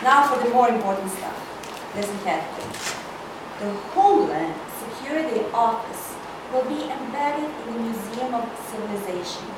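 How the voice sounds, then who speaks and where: A woman's voice: on the high side, lively intonation, normal speed, lightly acted. A woman cold reading the part of a domineering, haughty corporate older sister in a screenplay, bossy and dryly sarcastic. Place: indoor reading hall, recorded from far off. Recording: echoey room, distant mic, loud noise.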